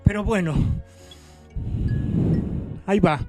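A high-voiced, slow-talking young adult with an Argentine accent who earnestly confesses and half sings, as a man acts him out. He is a radio comedy figure who is hesitant but stubborn. Faint music plays beneath. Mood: confessing.

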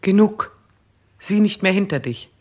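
A woman's voice: mid-range voice, expressive, unhurried, darkish tone. An actress playing a coolly commanding, scornful queen in a 1960s German radio play, curt and dryly sarcastic. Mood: imperious. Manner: curt, imperious, dry sarcasm.

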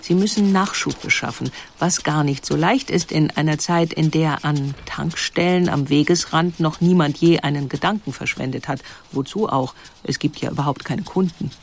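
A woman narrator reading a scripted documentary story in a measured, explanatory way. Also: low-pitched, slight background noise.

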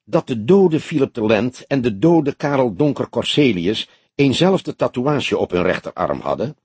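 A man voicing an excitable young police detective in an audiobook, tense, impatient and full of disbelief. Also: medium pitch, unhurried, slightly rough, dry recording. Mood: tense, incredulous. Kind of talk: Dutch audiobook character dialogue.

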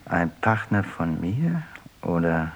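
An actor playing a man who reminisces in deliberate, playful fragments in a literary radio play, with a husky voice. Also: sits low, very animated, dull audio, heavily acted. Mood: pondering.

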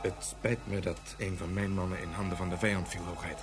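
A man's voice: sits low, level tone, a little fast, music in the background, natural voice. An actor playing a deferential, formal military colonel reporting to his ruler in a science fiction radio drama. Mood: apologetic, deferential.

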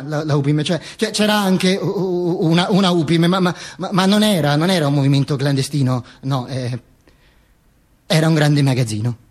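A high-pitched male voice in a stage monologue, a dead dictator recounting his own afterlife. He stammers, corrects himself and repeats phrases, then slips into slow, mock-heroic declamation. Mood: flustered, rambling. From Italy. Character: dead Benito Mussolini narrating from the grave, rambling and mock-heroic. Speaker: stage actor performing a monologue. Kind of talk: theatrical storytelling monologue.

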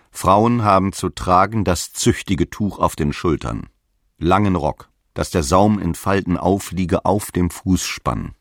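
A stern prophet of the early church, very low in pitch and captured dry and close. He proclaims doctrine in grave, archaic, measured phrases, with fervent authority, as in a radio play. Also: dry recording, exaggerated voice. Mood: strict, commanding. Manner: grave, commanding, measured preaching. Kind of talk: solemn archaic radio drama monologue.

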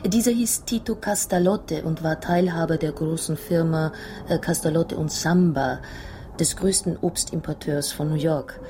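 Low-voiced, emotional young Italian wife played by an actress. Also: female voice, background noise, lightly acted. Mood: wistful, earnest. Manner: halting, emotional, dramatic storytelling. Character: young Italian wife recounting her past, fearful and passionate. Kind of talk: radio drama acting, dramatic monologue.

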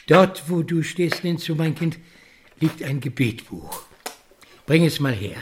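An actor playing a kindly, grieving old grandfather with failing eyesight in a radio play, speaking gently and haltingly. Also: a little high, lively intonation, noisy background. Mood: gently asking. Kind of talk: German radio play acting.

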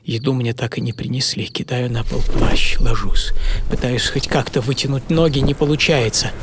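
An actor playing a proud, philosophical prisoner of the eighteenth century in a first-person audio drama monologue, theatrical and intense. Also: male voice, medium pitch, fairly even intonation, moderate pace, background noise, close to an everyday voice. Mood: brooding. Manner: theatrical, intense, swinging from hushed whispers to agitated cries. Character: imprisoned 18th-century adventurer, proud, brooding, philosophical man. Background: music under the voice.

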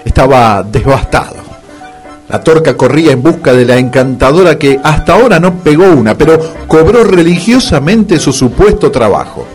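A man narrating a scripted radio comedy with a Rioplatense Argentine accent. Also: mid-range voice. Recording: music playing under the narration.

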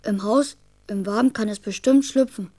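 A woman's voice: medium pitch, normal speed, warm tone, close to an everyday voice. A woman playing an earnest, curious young schoolboy in a children's radio drama, thinking aloud in a childlike way. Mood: earnest, concerned. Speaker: actor in a radio play.

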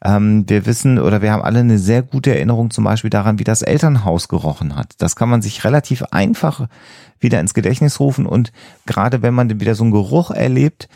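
A man's voice: lowish voice, expressive, fast talker, creaky, mellow, no echo, clean studio sound. A man who explains things as a podcast host, often rephrasing himself as he goes.

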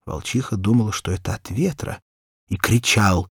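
A man narrating a children's story as a scripted audiobook, with expressive, measured delivery and deliberate pauses. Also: fairly low pitch, breathy edge, no echo, studio quality.

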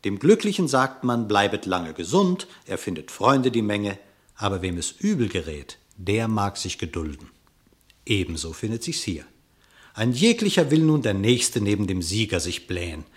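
A man reads a verse fable with measured, expressive storytelling. His voice sits somewhat low. His scripted narration carries the telling of a classic radio play.

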